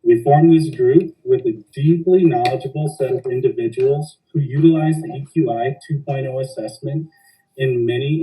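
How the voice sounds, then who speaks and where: A man opens a podcast as host and coach, reading a formal introduction in a measured way. His tone is very dark and mellow. He sits somewhat back from the microphone. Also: mid-range voice, recorded from a distance, low-quality recording.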